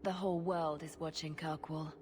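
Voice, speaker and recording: A woman plays a secret agent of a holy order in a fantasy game. Her voice sits fairly low for a woman, composed and grave. She speaks with deliberate care over faint background noise. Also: little pitch movement, a little mellow, lightly acted. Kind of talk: fantasy video game acting.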